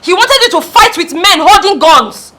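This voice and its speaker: Actress as a desperate daughter, very high and piercing, shouting and crying. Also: female voice, lively intonation, normal speed, exaggerated voice. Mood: indignant. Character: frantic young woman, desperate and loud. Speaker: daughter searching for her mother in a hospital.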